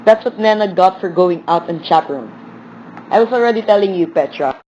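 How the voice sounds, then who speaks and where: A woman's voice: fairly low pitch, expressive, fairly quick, warm tone, lightly acted. A woman playing a stern, defensive parent in an amateur radio play, with a blunt, challenging delivery. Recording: dull lo-fi audio with clear noise.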